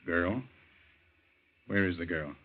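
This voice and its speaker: Deep, stern older doctor. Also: male voice, slow pace. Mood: brisk, clinical. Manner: curt, authoritative. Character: stern older male doctor, brusque and impersonal uncle. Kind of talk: 1940s radio acting. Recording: very muffled 1940s radio recording.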